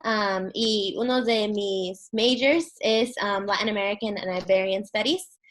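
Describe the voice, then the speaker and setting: A woman's voice: medium pitch, unhurried, a bit bright. A young woman from Guatemala, a university student, giving a casual and hesitant personal account. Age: young adult.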